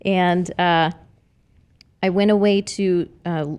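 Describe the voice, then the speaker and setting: A woman's voice: on the low side, fairly even intonation, slow pace, a little mellow, smooth. Earnest woman with a slight Texas twang. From New Mexico, raised in Arizona. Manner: earnest, many fillers and restarts. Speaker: political candidate, guest. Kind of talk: casual podcast conversation.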